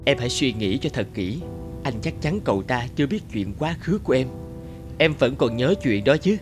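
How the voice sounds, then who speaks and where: An actor voicing a manipulative young ex-boyfriend in an animated drama, insinuating and coaxing with veiled threat. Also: male voice, medium pitch, moderate pace, over music, close to an everyday voice. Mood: smug, threatening. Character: smug, manipulative blackmailing ex-boyfriend, young man.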